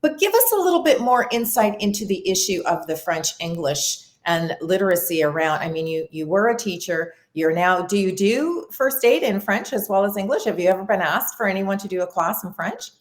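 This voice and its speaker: A woman's voice: mid-range voice, animated, a little fast, few pauses, roomy sound. A woman hosting an interview show, reading introductions and encouraging her guest. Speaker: show host. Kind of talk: hosted interview.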